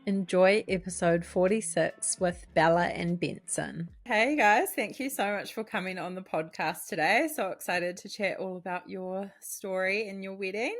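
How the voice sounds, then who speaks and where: A woman with a New Zealand accent, a wedding photographer hosting a podcast, reading an intro and then chatting with guests in a bright, upbeat way. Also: mid-range voice, moderate pace, few pauses, soft background music. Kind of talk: scripted podcast intro and casual interview.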